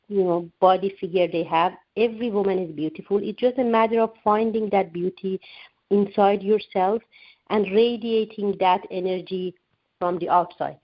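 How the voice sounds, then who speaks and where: A woman's voice: medium pitch, steady tone, normal speed, no echo. A woman in her forties from Iran, a guest author answering radio interview questions earnestly, with frequent restarts. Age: 40s. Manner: earnest, many restarts and fillers. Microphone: phone line. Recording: dull lo-fi phone-line sound.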